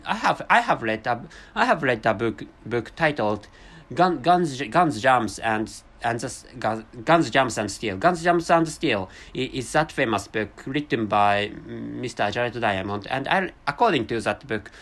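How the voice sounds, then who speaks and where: Man, Japanese accent, non-native speaker; hesitant solo monologue, animated pitch. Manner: halting, searching for words, self-correcting. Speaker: vlogger. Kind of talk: unscripted monologue in a second language.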